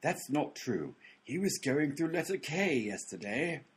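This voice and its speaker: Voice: medium pitch, big pitch swings, a little slow, breathy edge, full character voice. A performer playing a sarcastic, impatient postal clerk with a flippant, theatrical delivery in a radio comedy. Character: sarcastic, cranky postal clerk, one of conjoined twins.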